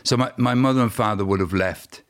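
Guest, male, 50s, born in Ireland. Low voice, halting and rambling. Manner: rambling, halting storytelling with many restarts. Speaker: musician guest. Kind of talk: casual interview chat.

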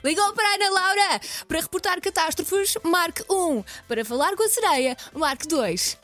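A woman's voice: extremely high pitch, animated, normal speed, fairly bright tone. A woman with a European Portuguese accent co-hosting a breakfast radio show in casual banter. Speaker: morning radio co-host. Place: radio studio. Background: music bed.

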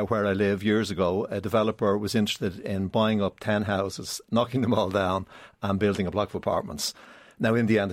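A man's voice: fairly low pitch, dry recording, clean studio sound. A man, a writer speaking fluently and matter-of-factly as a radio interview guest. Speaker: playwright, studio guest. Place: radio studio.

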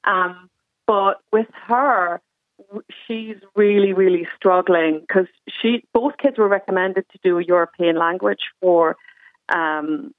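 A woman's voice: mid-range voice, takes it slowly, no room sound. A woman calling a radio show as a mother, explaining her situation earnestly, with plenty of ums along the way. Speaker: caller, mother. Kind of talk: radio phone-in call. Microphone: telephone. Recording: tinny dry phone line.

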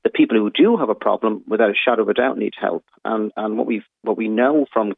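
A man, a doctor, explaining calmly on a radio phone-in. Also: fairly low pitch, quick pace, vocal fry, no room sound. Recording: tinny phone line.

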